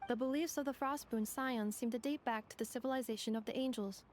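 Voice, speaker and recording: A woman voicing a polite, precise female robot guide in a video game, speaking in a formal, measured, analytical manner. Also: a little high, a little mellow, a little hiss or hum, natural voice. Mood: calmly informative. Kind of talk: video game character dialogue. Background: game music.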